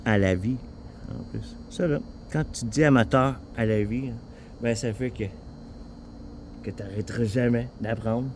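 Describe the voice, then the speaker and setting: A man with a Quebec French accent chatting casually in a rambling, halting way, repeating himself and swearing informally. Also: mid-range voice, a little mellow, a little hiss or hum. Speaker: vlogger talking with an AI assistant.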